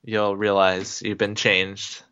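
A man with a New Jersey accent co-hosting a casual gaming podcast, chatty and quick to laugh. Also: on the low side, level tone, pushed and bright, clean tone, dull audio. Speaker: podcast co-host.

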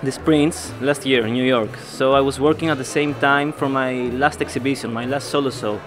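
A man's voice: mid-range voice, fairly even intonation, normal speed, over music. A young man from Greece with a Greek accent, speaking in hesitant phrases as an artist being interviewed. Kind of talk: on-camera interview. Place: indoor art fair booth. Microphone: handheld interview mic held out by interviewer.

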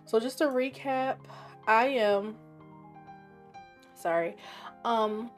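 A woman with a raspy voice and a sore, scratchy throat, chatting casually while narrating her gameplay and thinking aloud. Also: fairly high pitch, very slow, soft background music. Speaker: game streamer.

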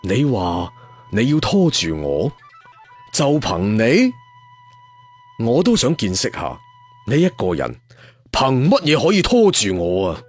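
A man's voice: mid-range voice, wildly swooping pitch, takes it slowly, long pauses, husky, faint music behind, full character voice. A man voicing an arrogant, scornful martial-arts villain lord in a story, sneering with contempt.

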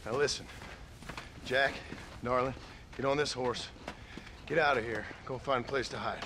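Man acting a gruff, protective cowboy father. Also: medium pitch, fairly even intonation, moderate pace, natural voice. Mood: urgent. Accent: American. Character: gruff, protective cowboy father sending his family away. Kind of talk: dramatic video game western acting. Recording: clearly noisy outdoor ambience behind.

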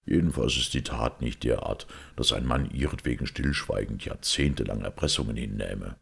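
A man reading the part of a shrewd, calm priest detective in an audiobook, in a measured, deliberate way. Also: a bass voice, vocal fry, husky, heavily acted. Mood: grave, matter-of-fact.

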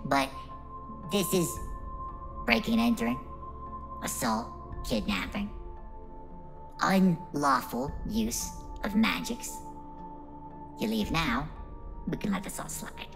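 A man's voice: mid-range voice, sing-song, takes it slowly, creaky, pauses often, music in the background, full character voice. A man voicing an indignant little pseudodragon servant in a tabletop game, whining, blustering and swearing. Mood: warning. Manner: whiny, protesting, blustering. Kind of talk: tabletop roleplay.

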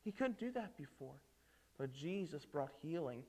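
A man preaching to children in a dramatic storytelling style, emphatic, with theatrical pauses and rhetorical questions. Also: a little high, big pitch swings, warm tone. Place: indoors at a lectern on a stage set.

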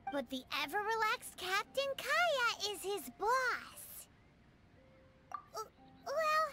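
A woman's voice: very high-pitched, sing-song, slow, heavily acted. A woman voicing a tiny, chirpy floating fairy companion in a video game, who talks about herself in the third person. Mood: chatty. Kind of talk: video game character dialogue. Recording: game audio with loud background music.

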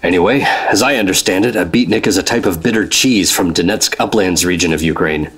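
An actor playing a stern, deadpan government special agent who leads a team in a fifties-style radio drama. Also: male voice, deep voice, fairly quick, breathy edge. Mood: matter-of-fact. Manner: deadpan, commanding. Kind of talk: 1950s-style radio drama narration and acting.

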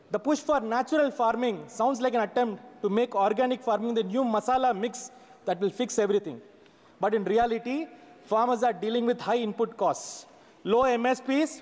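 Male lawmaker, forties, very high voice, sarcastic floor speech, reverberant hall. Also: a little mellow. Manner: sarcastic, reads from notes with hand gestures. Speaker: member of parliament. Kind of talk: parliamentary budget speech. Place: large parliament chamber. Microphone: fixed desk microphone. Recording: long chamber reverb.

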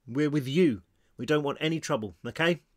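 A man's voice: a little high, moderate pace, a little mellow, no echo, clean studio sound, natural voice. A man voicing a cautious, placating teenage boy in a story he reads aloud.

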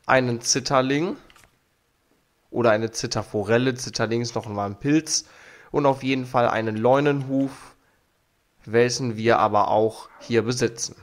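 A young man with a cold, hosting a let's play and commenting casually on his game while reading its text aloud. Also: mid-range voice. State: has a cold. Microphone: studio mic on arm. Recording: faint game music behind.

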